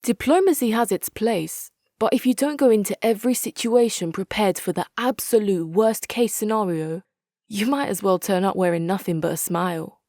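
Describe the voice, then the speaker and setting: An actress playing a hard-nosed, articulate female military commander in a strategy game, speaking in a measured, wry, authoritative way. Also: medium pitch, a little mellow, dry recording, clean studio sound, close to an everyday voice. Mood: blunt, firm. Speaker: video game faction leader. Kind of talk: dramatic scripted game quotes.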